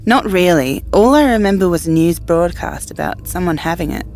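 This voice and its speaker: A woman's voice: lowish voice, lively intonation, normal speed, darkish tone, soft background music. An actress playing an uneasy, questioning female survivor in a dystopian audio drama. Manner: hesitant, questioning.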